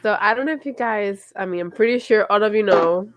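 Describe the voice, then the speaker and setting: A woman's voice: mid-range voice, fairly quick, lo-fi sound, crowd noise. A young woman from Puerto Rico co-hosting a casual podcast, playful and swearing, slipping into Spanish, sounding congested from allergies. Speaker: podcast co-host. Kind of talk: casual chat.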